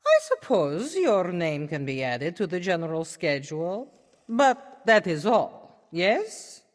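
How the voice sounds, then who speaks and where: A woman's voice: lowish voice, very animated, slow, big-room sound. A woman playing a jaded, haughty, corruptible official in a video game, with a sardonic, dismissive manner. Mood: slyly agreeable. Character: jaded, haughty, bribable female official drinking.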